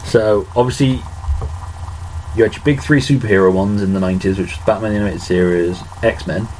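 A man in his late thirties co-hosting a casual podcast, chatting wryly and recalling details quickly. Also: on the low side, darkish tone, a little hiss or hum, rough recording. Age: 30s. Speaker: podcast co-host. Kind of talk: casual chat.

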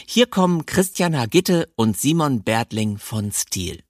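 A man's voice: medium pitch, very animated, unhurried, dry recording, clean studio sound. A man hosting a talk podcast, chatting and presenting to listeners and guests. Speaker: podcast host and interviewer.

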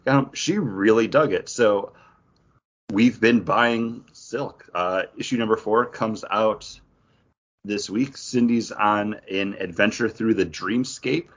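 A man's voice: on the low side, slow, highs cut off. A man co-hosting a podcast, chatting casually about comic books. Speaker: podcast co-host. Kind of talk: casual comic book chat.

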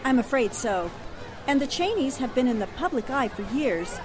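An actress playing an earnest, polite newspaper reporter in the style of 1940s radio drama. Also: female voice, sits high, big pitch swings, moderate pace, crowd noise. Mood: earnest, sober.

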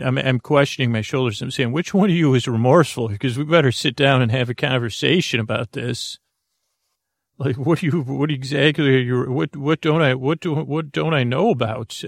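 A man hosting a sleep podcast, rambling in a halting, gently joking bedtime talk full of fillers and restarts. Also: mid-range voice, vocal fry, dry recording, clean studio sound.

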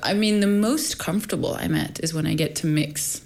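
A woman from Sweden, a workshop teacher talking in a soft, thoughtful way with many pauses. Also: low-pitched, vocal fry. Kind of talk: casual conversation.

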